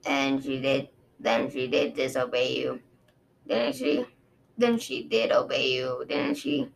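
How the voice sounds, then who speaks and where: A woman voicing a grave male dragon guard, speaking low and measured. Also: expressive, creaky, full character voice. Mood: earnest. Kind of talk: audiobook character reading.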